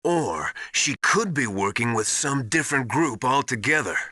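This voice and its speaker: An actor playing a stern, suspicious military mentor in a video game, deliberate and accusing. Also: male voice, mid-range voice, expressive, no room sound, studio quality. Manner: deliberate, forceful. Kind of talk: dramatic video game voice acting.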